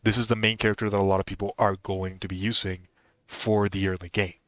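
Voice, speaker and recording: A man's voice: lowish voice, little pitch movement, fast, a bit bright, no room sound, studio quality. A man streaming a video game, talking casually and explaining strategy, often rephrasing his points. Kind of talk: casual game commentary and advice.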